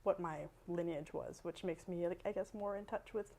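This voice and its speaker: A woman with a Canadian accent talking casually as an interview guest, in an animated, emphatic and slangy way. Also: fairly low pitch, normal speed, creaky. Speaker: guest, dancer and artist.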